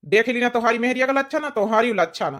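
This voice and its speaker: A woman's voice: on the high side, lively intonation, fast, natural voice. A woman voicing a grumpy elderly village father-in-law in a cartoon comedy, rambling and repeating in an Awadhi accent. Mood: angry scolding. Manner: rambling, repetitive scolding.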